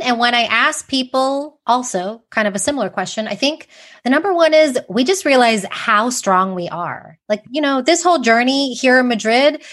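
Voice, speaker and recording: A woman in her forties from Texas, a podcast guest talking in an animated, quick, chatty way over a remote call. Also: a little high. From Texas, USA. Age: 40s. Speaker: interview guest. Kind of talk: casual interview chat.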